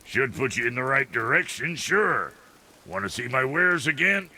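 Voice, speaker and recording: An actor playing a crude, boastful male blacksmith in a video game, with a gravelly voice, rough grammar and loud exclamations. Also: mid-range voice, sing-song, normal speed, heavily acted.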